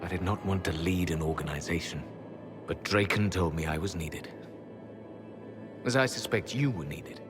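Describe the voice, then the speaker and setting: An actor playing a weary, solemn old elven hunter-mage in a video game, speaking in a grave, measured, formal way. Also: male voice, deep voice, over music, lightly acted. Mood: weary, resigned. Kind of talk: dramatic video game character acting.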